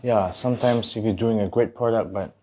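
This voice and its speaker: Man, slightly low voice, podcast host, casual interviewing, short agreeing interjections. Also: fairly quick, dark tone.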